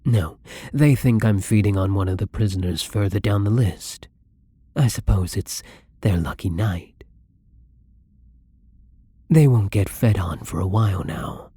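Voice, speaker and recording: A man playing a gentle, caring vampire in an intimate ASMR roleplay, speaking softly and tenderly with a husky, breathy voice. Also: fairly low pitch, unhurried, long pauses, no echo. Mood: earnest, apologetic. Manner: soft-spoken, tender, breathy.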